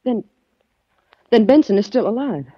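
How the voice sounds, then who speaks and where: A woman's voice: on the high side, animated, normal speed, breathy edge, no echo. An actress playing a frightened young woman in a 1940s radio mystery. She sounds tense. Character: frightened young woman hiding from her father's killer. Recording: dull, dry old radio recording.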